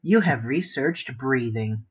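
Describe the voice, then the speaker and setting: A man chatting casually and rambling half to himself as he hosts a live drawing stream, with a husky voice. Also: medium pitch, animated, slow, dull audio. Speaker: art livestream host. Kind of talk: casual chat while sketching.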